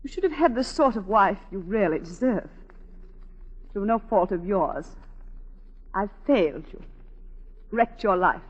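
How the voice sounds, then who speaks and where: A woman's voice: medium pitch, lively intonation, a little slow, long pauses, husky, highs cut off. A woman playing a refined, guilt-ridden wife who has killed her lover, in intense 1940s radio drama style. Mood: pleading, despairing. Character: tormented unfaithful wife confessing murder, refined and guilt-ridden. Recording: band-limited 1940s radio recording with music.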